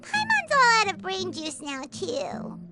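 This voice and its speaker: A woman voicing a tiny, childlike fairy sidekick in a video game, squeaky, earnest and excitable. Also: measured pace, heavily acted. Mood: deflated. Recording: playful game music behind the voice.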